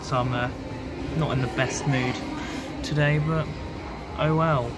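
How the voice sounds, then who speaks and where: A man's voice: medium pitch, fairly even intonation, unhurried, very noisy. A man in his twenties with an English accent, chatting casually and informally to camera as a vlogger, recorded on a phone held at arm's length. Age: 20s. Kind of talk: casual vlog chat to camera.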